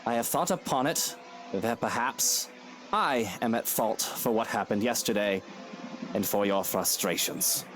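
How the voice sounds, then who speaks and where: An actor playing a suave aristocratic husband in a visual novel, speaking formally with earnest, coaxing phrasing. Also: male voice, lowish voice, unhurried, fairly bright tone, a little hiss or hum. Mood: earnest, contrite. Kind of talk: scripted visual novel voice acting.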